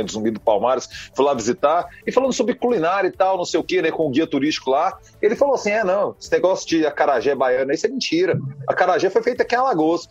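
Brief: man from Goiás, talk-radio commentator, slightly husky, dry muffled studio sound, animated and rambling. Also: sits high, no echo. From Goiás, Brazil. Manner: animated, digressive, frequent tag questions.

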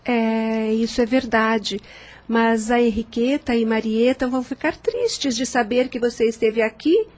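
Kindly lady of the house, radio drama, high pitch, inquisitive, hospitable, insistent. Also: unhurried, a little mellow. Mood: disappointed.

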